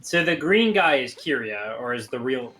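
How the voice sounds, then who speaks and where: Playful co-commentator with lively pitch. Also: normal speed. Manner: joking, playful. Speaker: guest co-commentator. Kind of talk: casual chat.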